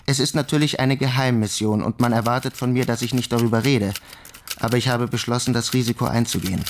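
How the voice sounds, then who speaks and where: An actor playing an earnest army lieutenant reading out his letter in a radio drama, measured and confiding. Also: mid-range voice, steady tone, natural voice. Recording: clearly noisy, clicking typewriter-like sounds.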